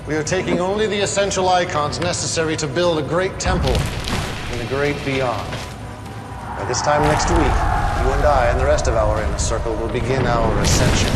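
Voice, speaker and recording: An actor playing a menacing, grandiose cult leader in a video game cutscene, making pompous, preacher-like proclamations. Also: male voice, mid-range voice, fairly even intonation, moderate pace, long pauses, roomy sound, in a large hall, loud music behind the voice, close to an everyday voice. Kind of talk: theatrical game cutscene acting.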